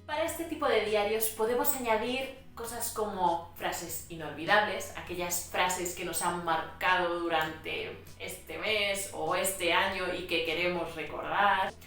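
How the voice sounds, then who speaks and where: A woman from Spain explains language tips in a patient, clear teaching voice. She sounds set back from the mic, with a roomy echo around her and soft music underneath. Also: lowish voice. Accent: Spain Spanish. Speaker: Spanish teacher. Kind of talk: educational vlog narration. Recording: echoey room, mic at a distance.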